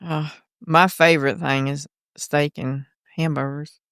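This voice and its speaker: Smooth-voiced Southern woman, chatty. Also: low-pitched, fairly even intonation, measured pace, fairly bright tone, no room sound, clean studio sound. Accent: Southern US, South Carolina. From South Carolina. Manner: chatty, laughs often, trails off searching for words. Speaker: guest, mother of a son in recovery. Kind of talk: casual podcast conversation.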